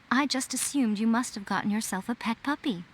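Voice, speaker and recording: A woman's voice: a little high, poor audio. An actress playing a sly, teasing, elegant woman in a video game, coy and knowing. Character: sly, teasing, elegant female shrine mistress.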